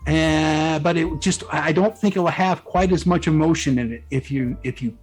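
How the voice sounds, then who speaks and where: A man speaking as a guest author in a casual interview, explaining things informally and reflectively, with some halting phrasing. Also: on the high side, breathy edge. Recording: music playing behind the voice. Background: background music.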